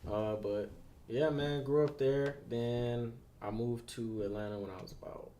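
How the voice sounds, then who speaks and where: A young man from Detroit, a rapper, talking about his music in a relaxed, slangy podcast chat. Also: mid-range voice, measured pace, a little hiss or hum. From Detroit, USA. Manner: laid-back, slangy, repeats "you know what I'm saying". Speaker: rapper being interviewed.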